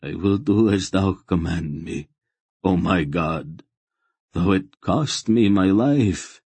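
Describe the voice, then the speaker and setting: A man's voice: low voice, expressive, slow, a little mellow, breathy edge, no room sound, full character voice. A man voicing a humbled zealot in a fantasy audiobook, begging in a choked, reverent way. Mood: humble submission. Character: male religious zealot, trembling, humbled and pleading.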